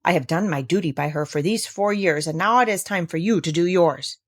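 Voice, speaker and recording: A woman's voice: lowish voice, brisk, natural voice. A woman voicing an exasperated, indignant aunt who scolds emphatically in a storybook read-aloud. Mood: curt, defensive. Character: scolding, exasperated young aunt in a children's classic.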